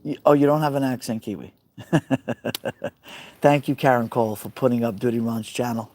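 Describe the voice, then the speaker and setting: A middle-aged man with a Brooklyn accent talks to his viewers on a phone live stream from beside an outdoor fire pit. His voice is mellow and warm, mid-pitched, and he rambles from one comment to the next. He calls out his viewers by name as he goes. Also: normal speed. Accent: Brooklyn New York. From Brooklyn, New York.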